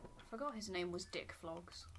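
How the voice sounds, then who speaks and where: A woman's voice: fairly low pitch, animated, normal speed, fairly bright tone, slight background noise. A woman chatting casually as a co-player in a game playthrough. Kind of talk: casual gameplay chat.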